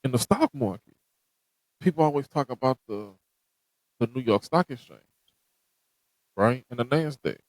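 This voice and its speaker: A man's voice: medium pitch, animated, moderate pace, long pauses, no room sound, poor audio. A man hosting a podcast, giving a direct, motivational talk that repeats its points. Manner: preachy, direct, repeats himself. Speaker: podcast host.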